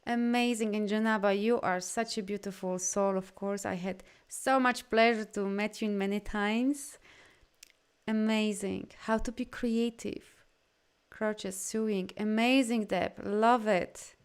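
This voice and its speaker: A woman's voice: medium pitch, steady tone, measured pace, clean tone. A woman who is a non-native English speaker, talking informally as a coach to live viewers in an effusive, encouraging manner. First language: not English. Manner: effusive, encouraging, often reading out comments. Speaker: energy healing coach hosting a live session.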